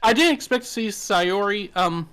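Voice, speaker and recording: A man's voice: high-pitched, animated, moderate pace, a bit bright, clean tone, faint music behind. A man with a Southern US accent, co-hosting a podcast in frank, joking casual talk. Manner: joking, frank, swears often. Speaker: podcast co-host. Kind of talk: casual chat.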